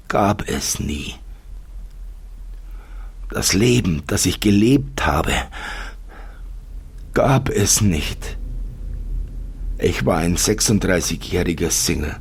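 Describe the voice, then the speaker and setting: A male reader acting as a wistful storyteller with a very low, husky voice. He narrates an audiobook-style horror tale in the first person, measured and with deliberate pauses. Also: exaggerated voice. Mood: sorrowful. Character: wistful male narrator mourning an imagined life.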